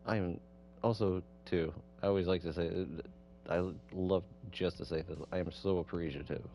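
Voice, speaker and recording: A man's voice: low voice, steady tone, moderate pace, warm tone, slight background noise. A man streaming a game with casual, musing commentary, often repeating himself. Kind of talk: casual livestream commentary, reading game dialogue aloud. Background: music.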